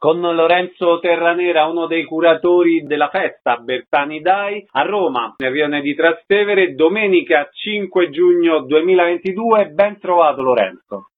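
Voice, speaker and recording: A man's voice: a little high, normal speed, phone-quality sound, faint music behind. A man hosting a radio interview, giving introductions and short prompting questions.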